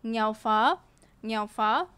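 Slightly hoarse young woman streamer, chatty. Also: a little high, expressive, very slow, sharp tone, dry recording. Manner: chatty, greets viewers, many fillers. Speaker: livestreamer. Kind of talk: casual gaming stream chat. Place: small room, indoors. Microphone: desk mic in front of her. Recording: music playing behind the voice.

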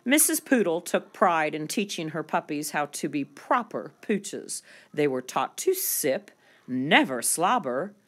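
A woman with a fairly low voice reads a children's picture book aloud. Her delivery is very slow and deliberate, with animated rises and falls. She draws out key words expressively for young listeners.

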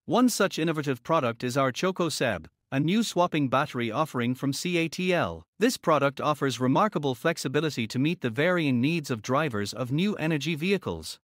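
A man's voice: fairly high pitch, animated, brisk, hardly any pauses, a bit bright, no echo, clean studio sound. Middle-aged man explaining products. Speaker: company guide. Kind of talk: explaining to interviewer. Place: exhibition hall.

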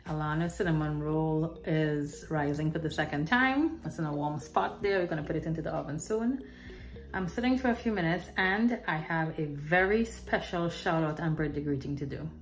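A woman's voice: low-pitched, animated, normal speed. A woman hosting a home cooking show, chatting casually and asking lots of questions as she goes. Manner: chatty, asks many questions, restarts often. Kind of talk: casual kitchen chat. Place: home kitchen. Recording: roomy kitchen echo.

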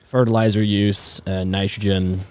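A man in his twenties from the Netherlands, a native Dutch speaker, chatting casually and haltingly as a podcast guest. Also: on the low side, little pitch movement, darkish tone. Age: 20s. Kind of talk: casual conversational storytelling. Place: indoors, garage-like studio. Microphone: close podcast mic on boom arm.

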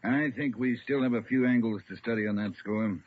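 An actor playing a grim, brisk police captain in a vintage radio drama, authoritative, clipped and factual. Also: male voice, mid-range voice, narrow, tinny audio, close to an everyday voice. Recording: thin, hissy old radio recording.

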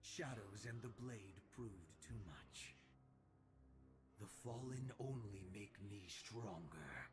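A man's voice: lowish voice, lively intonation, slow. A man voicing a cold, arrogant young assassin in a video game, speaking in clipped, menacing, self-assured lines. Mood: smug, superior. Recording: clear music under the lines.

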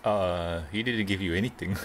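A man's voice: low voice, moderate pace, crowd noise. A man playing in an online tabletop game, teasing the others. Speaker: player.

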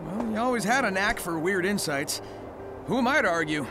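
A man's voice: sits high, animated, brisk. A male actor playing a cocky, brave, easygoing young hedgehog hero in a video game, breezy and wry. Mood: wry, teasing. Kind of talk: video game cutscene acting. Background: loud game music.

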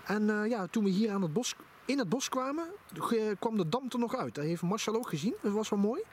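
Enthusiastic man with a high, lively voice. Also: brisk. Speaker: vlogger. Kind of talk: casual outdoor vlog commentary. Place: outdoors in a forest at night by a campfire. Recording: noisy outdoor camera audio.

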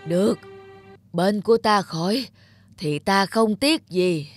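A woman's voice: medium pitch, slow, soft background music. A woman voicing an ailing, demanding middle-aged cartoon king with an imperious manner. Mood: stern. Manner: imperious, commanding. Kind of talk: cartoon voice acting.

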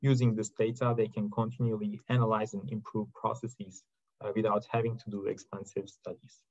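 A man giving a prepared technical slide presentation in a halting way, with frequent uhs between short phrases. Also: on the low side, flat delivery, darkish tone. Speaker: presenter from a technology company's research and product team. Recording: dull, lo-fi video-call audio.

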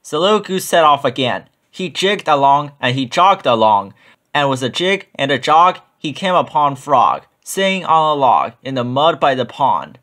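A man narrating a children's picture book in an expressive read-aloud style. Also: mid-range voice, normal speed.

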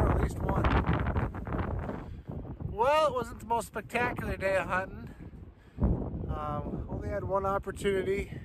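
A man in his thirties talking casually in a self-filmed hunting vlog, recorded on a head-mounted camera in a truck and out in the field. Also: high-pitched. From South Dakota. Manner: plain-spoken, easygoing, rural talk. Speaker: hunting vlogger. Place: inside a pickup truck, then outdoors on windy snowy prairie. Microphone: head-mounted action camera. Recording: loud wind buffeting the mic. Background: strong wind noise.

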